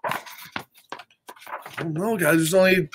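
A middle-aged American man hosting a children's story time, reading a picture book aloud with chatty asides in an animated, exaggerated way. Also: on the high side, slow, slight background noise. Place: small home office, indoors.

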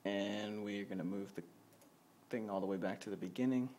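A man's voice: fairly low pitch, normal speed, darkish tone, slight background noise. A man giving an informal, off-the-cuff walkthrough of his home studio setup, talking casually and with some self-deprecation. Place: dim room at a home computer setup. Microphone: handheld camera or phone mic. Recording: music playing in the background.

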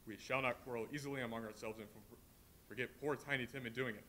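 An actor playing a gentle, grieving father speaking tenderly to his family on stage. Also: medium pitch, steady tone, fast, a crowd in the background, lightly acted. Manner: tender, mournful. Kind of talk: live stage play reading.

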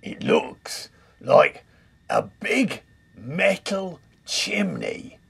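Give me a bragging big bad wolf, as a storybook reader puts him on, husky and slow. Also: medium pitch, big pitch swings, pitched above the performer's own voice, heavily acted. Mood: scheming. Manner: shouting, boastful. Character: boastful bullying big bad wolf pilot.

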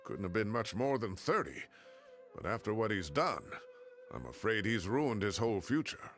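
Cyrus, a fussy elderly professor who grumbles with theatrical outrage, bright and lively. His voice swings up and down as he complains. This is game dialogue. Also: lowish voice, moderate pace, soft background music. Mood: chatty. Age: older. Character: cranky elderly retired male professor. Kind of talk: video game voice acting.

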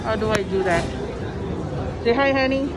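A woman's voice: fairly high pitch, moderate pace, very noisy. A woman giving casual vlog commentary, chatty and enthusiastic, often repeating herself, filmed on a handheld phone. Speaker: vlogger. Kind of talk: casual vlog commentary while touring a buffet. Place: large busy buffet restaurant, indoors. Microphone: handheld phone camera. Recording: busy indoor restaurant crowd and music. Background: diners' chatter and background music.

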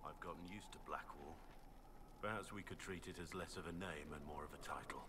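Deep-voiced, guilt-ridden bearded warrior. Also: male voice, a little fast, noisy background. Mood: brooding.

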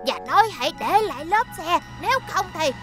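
A tiny, excitable kid car in a children's cartoon. The voice is extremely high and childlike, a bit timid, with music behind it. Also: female voice, brisk. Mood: scared. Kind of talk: dubbed children's cartoon acting.

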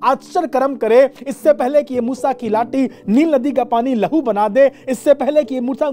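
Dramatic Indian preacher, mellow voice. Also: male voice, extremely high pitch. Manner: dramatic, slows for emphasis, switches into English phrases. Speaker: bishop preaching. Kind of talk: sermon to camera. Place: dark studio set with a lamp.

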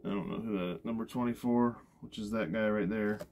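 A man's voice: fairly low pitch, steady tone, brisk, darkish tone. A man narrating casually as he digs through boxes inside a cargo trailer, thinking aloud, recorded on a body-mounted camera. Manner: offhand, thinks aloud with fillers. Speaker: storage-unit reseller. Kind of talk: casual narration while unpacking. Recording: noisy camera audio.

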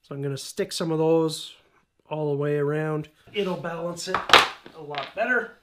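Casual middle-aged man, a bit high-voiced, talking through a garage build; roomy echo, faint noise, tools clinking behind. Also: normal speed. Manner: chatty, offhand, with small jokes and asides. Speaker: DIY home gym channel host. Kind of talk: casual how-to vlog. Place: cluttered garage home gym, indoors. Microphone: camera-mounted mic, a few meters off.

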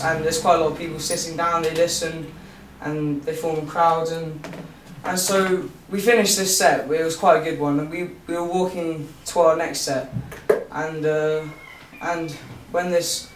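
A teenage boy tells a story to a small room, halting and restarting, his voice a little high. He is heard from a far camera with a roomy echo and faint ambience behind him. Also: slight background noise. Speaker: busker telling a story. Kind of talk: nervous live storytelling.

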